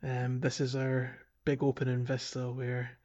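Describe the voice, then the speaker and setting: A man's voice: medium pitch, completely flat delivery, a little slow, a little mellow, lo-fi sound. A Scottish man chatting informally and enthusiastically as a podcast host. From Scotland. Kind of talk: casual podcast chat.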